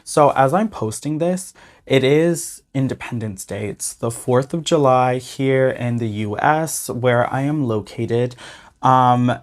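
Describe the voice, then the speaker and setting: A man with a slightly hoarse voice hosting a tarot reading channel, talking casually and earnestly to his viewers. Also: mid-range voice, takes it slowly. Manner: earnest, often adds "okay?" and "you guys". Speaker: tarot reader and channel host. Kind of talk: casual talk to camera.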